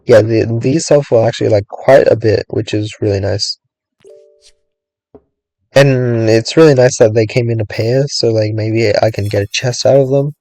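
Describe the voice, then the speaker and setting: A young man talks through his game in a loose, meandering way, filling gaps with "like" and half-finished thoughts. His voice is a touch raspy after long talking and sits on the mellow, darker side. The sound is dry and close, with no room around it. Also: on the low side, moderate pace, no echo, faint music behind, poor audio. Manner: rambling, thinks aloud, many "like"s and hedges. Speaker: gaming streamer. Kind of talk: casual gameplay commentary.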